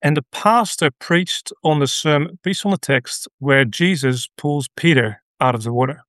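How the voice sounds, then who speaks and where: A middle-aged man from the Netherlands with a Dutch accent, a radio guest telling a personal story in an earnest, halting way with many restarts. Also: fairly high pitch, fairly bright tone, no echo. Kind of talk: conversational interview.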